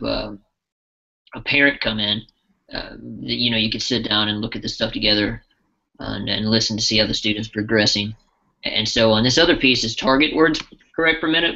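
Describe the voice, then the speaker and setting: A man walks through a software tool in a casual live demo, his voice bright with a gravelly edge. He talks loosely and restarts his phrases often. The sound is dull and lo-fi, like webinar audio. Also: medium pitch. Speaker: software trainer.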